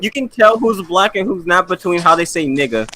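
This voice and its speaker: A man's voice: high voice, lively intonation, a little fast, background noise. A man in casual stream chat, bantering in a defensive, boastful way. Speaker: stream guest.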